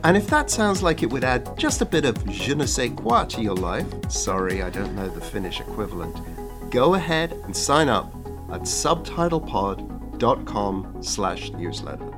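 A man's voice: mid-range voice, very animated, moderate pace, over music. A man hosting a podcast in a conversational style. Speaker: podcast host.

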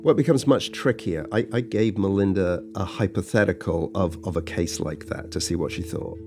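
A man hosting a podcast, narrating and chatting in a measured, conversational way. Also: deep voice. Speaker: podcast host. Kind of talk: scripted narration and chat.

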